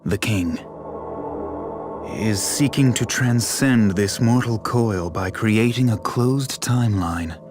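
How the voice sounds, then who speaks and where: A man's voice: fairly low pitch, slow, over music. An actor playing a solemn, learned man expounding lore in a fantasy video game. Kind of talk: dubbed video game cutscene acting.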